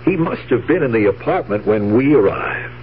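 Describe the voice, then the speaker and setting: Male actor as protective nephew, mid-pitched voice, earnest, solicitous, 1970s radio drama, thin tinny sound. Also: lively intonation, moderate pace, lightly acted. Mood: concerned. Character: concerned, protective nephew, an ordinary man. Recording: tinny, band-limited old radio, faint hiss.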